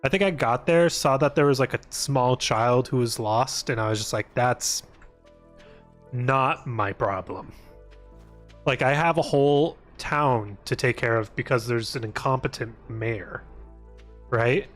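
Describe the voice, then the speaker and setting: A man's voice: medium pitch, unhurried, faint music behind. A man giving casual, rambling gaming stream commentary, thinking aloud and joking as he plays. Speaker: video game livestreamer.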